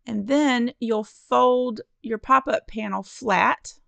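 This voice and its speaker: A woman's voice: on the high side, takes it slowly, gravelly, a bit bright, no echo. A woman narrating a step-by-step card-making tutorial in a friendly, encouraging, instructive way. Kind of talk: step-by-step craft tutorial voice-over.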